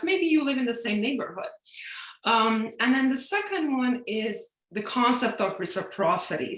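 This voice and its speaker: A woman from Iran, a professor, introducing herself on a panel with enthusiasm over a video call. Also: mid-range voice. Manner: enthusiastic, animated. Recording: roomy echo.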